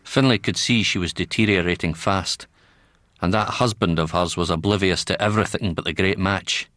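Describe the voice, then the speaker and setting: Deep-voiced, grave Scottish doctor. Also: male voice, normal speed, fairly bright tone, no echo. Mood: wry, grave. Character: grave, worldly-wise senior Scottish doctor. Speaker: actor and narrator in a radio drama.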